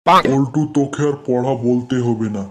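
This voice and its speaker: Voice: medium pitch, normal speed, lightly acted. A performer voicing a stern, sarcastic male schoolteacher in a cartoon comedy, scolding his pupils. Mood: exasperated.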